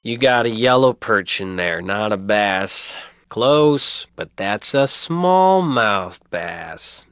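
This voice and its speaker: A clear, smooth male voice at an average pitch as a folksy small-town tradesman in a game. He is easygoing and chatty, a keen fisherman. The sound is dry and clean. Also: a bit bright, dry recording. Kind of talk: video game character acting.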